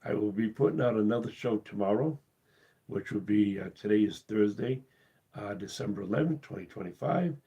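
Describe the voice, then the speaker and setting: Casual live-stream host, man, low mellow husky voice going hoarse, halting delivery. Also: lively intonation, lo-fi sound. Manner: halting, with many uh's and restarts. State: losing his voice, coughing. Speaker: cruise channel host.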